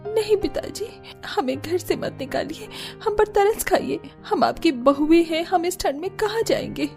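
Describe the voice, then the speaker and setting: A woman voicing a worried young daughter-in-law in a cartoon drama, earnest and plaintive, with a soft voice. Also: very high voice, animated, normal speed, soft background music. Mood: pleading.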